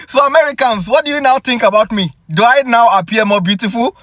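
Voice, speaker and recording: Man, playful teasing phone clip, high voice, dry sound. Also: fairly bright tone, no echo. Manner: playful and teasing, laughs between lines. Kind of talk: casual talking-head clip.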